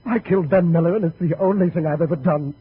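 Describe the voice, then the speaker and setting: A man's voice: fairly high pitch, fast talker, close to an everyday voice. A man playing a weak, snobbish, self-pitying man of good family in an overwrought radio melodrama. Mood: distraught, defiant. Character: distraught, snobbish, weak-willed rich man confessing. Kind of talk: 1950s radio melodrama acting. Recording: tinny, noisy 1950 radio broadcast.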